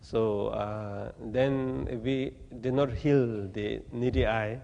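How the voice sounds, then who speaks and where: A man from Tibet, giving a Buddhist teaching in halting, plain English with gentle humor. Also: medium pitch, steady tone, vocal fry, long reverb tail, lo-fi sound, very noisy. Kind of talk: dharma talk to an audience. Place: talk venue with audience.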